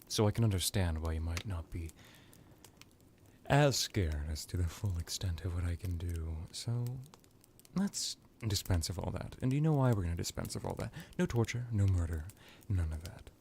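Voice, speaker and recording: Man playing a low, gravelly suave vampire lord. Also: very animated, a little mellow, slight background noise. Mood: smug, fond. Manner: languid, hesitant musing, drawn-out words, drops into whispers. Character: male vampire lord, suave, menacing, condescendingly affectionate. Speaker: character roleplay performer. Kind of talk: ASMR villain roleplay monologue.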